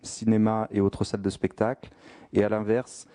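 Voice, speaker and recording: A man giving a formal spoken presentation of a statistical study in a measured, careful, explanatory manner. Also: on the low side, completely flat delivery, dark tone, a little hiss or hum.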